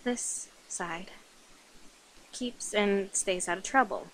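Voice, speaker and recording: A woman voicing a sweet, caring vampire in a soft, hesitant ASMR roleplay. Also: medium pitch, slow, a little hiss or hum, poor audio. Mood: soft, confessing.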